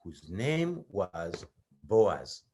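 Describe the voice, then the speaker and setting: A man telling stories to children as a puppet show host, expressive, with simple repeated phrasing. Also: deep voice, drawn-out words, breathy edge. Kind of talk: children's storytelling.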